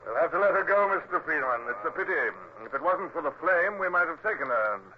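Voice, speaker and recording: A man's voice: a little high, lively intonation, quick pace, natural voice. Naval commodore, British male actor: stern, formal, clipped authority, vintage radio sound, dulled with light hiss. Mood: measured. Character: stern, commanding British naval commodore, narrating his own tale. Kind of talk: radio drama acting. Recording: dull, noisy old radio recording.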